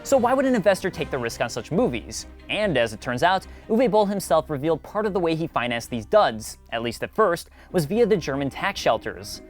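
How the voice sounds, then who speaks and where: Fast, animated male video essay narrator. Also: a little high, faint music behind. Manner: wry, conversational asides. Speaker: host of a video essay series.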